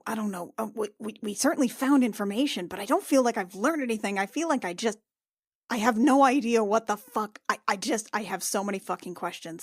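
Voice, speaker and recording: A woman playing a tabletop roleplaying game, improvising casual in-character chat in a halting, sweary way. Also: medium pitch, no room sound, studio quality.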